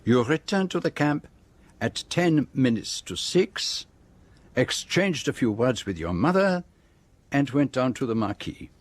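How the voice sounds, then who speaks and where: An actor playing a self-assured detective in a radio drama, speaking in a deliberate, formal and precise way as he lays out a case. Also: medium pitch, very animated, no echo. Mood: probing, precise. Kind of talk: radio drama acting, monologue.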